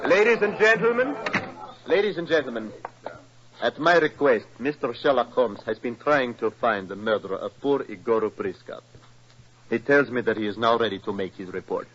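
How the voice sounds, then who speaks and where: An actor playing a dignified foreign ambassador in a formal, declamatory 1940s radio style. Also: male voice, medium pitch, animated, moderate pace, a bit bright, natural voice. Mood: formal, expectant. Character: dignified foreign male ambassador, proud husband. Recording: dull 1940s radio recording, music.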